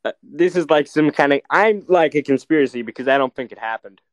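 Young male podcast co-host, slightly high voice, crude teasing banter, dry close sound. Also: expressive, brisk, dry recording.

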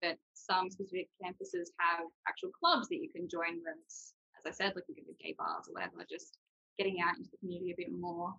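A woman's voice: fairly low pitch, fairly bright tone, slightly rough, dull audio. A young adult woman, explaining things informally and reassuringly as a guest in a casual chat. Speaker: guest, university student.

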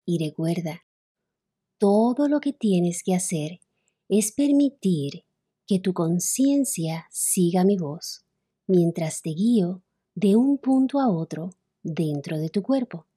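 A woman leading a guided relaxation as a meditation instructor, soothing and unhurried, with long pauses between phrases. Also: on the low side, animated, dark tone, breathy edge. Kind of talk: guided relaxation, yoga nidra narration.

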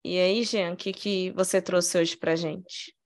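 A woman's voice: lowish voice, steady tone, unhurried. A woman co-hosting a podcast who wraps up the discussion and asks eager questions during the quiz. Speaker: podcast co-host leading segments. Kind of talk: casual podcast chat.

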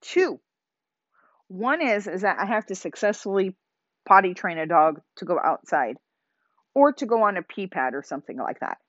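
Woman, podcast host, loud emphatic voice, rambling casual monologue, muffled lo-fi audio. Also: medium pitch, lively intonation, moderate pace. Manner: chatty and emphatic, with many restarts.

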